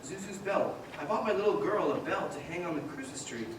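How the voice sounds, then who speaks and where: A man's voice: sits high, fairly quick, close to an everyday voice. A man acting a frantic, bewildered family man in a stage radio play, agitated and pleading. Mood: bewildered, distraught. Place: live stage in a large echoing hall. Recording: echoey hall from far off, noisy.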